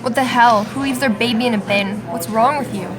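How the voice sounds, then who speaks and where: Brief: female actor as self-justifying imprisoned mother, amateur radio drama, slightly high voice with clear music underneath. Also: brisk, natural voice. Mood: indignant. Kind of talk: amateur radio play acting.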